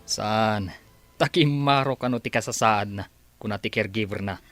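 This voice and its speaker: An actor playing a scheming, smooth-talking boyfriend in a radio drama. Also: male voice, lowish voice, normal speed, fairly bright tone, no echo, soft background music, lightly acted. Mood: sly, reassuring. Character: scheming, smooth-talking sweetheart plotting a grab.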